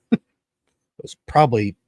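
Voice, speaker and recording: A man's voice: measured pace, no echo. Foul-mouthed man in his thirties, dry sound. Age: early 30s. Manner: foul-mouthed, animated storytelling with quoted reactions. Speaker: wrestling podcast host. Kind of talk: casual chat, ranting and storytelling.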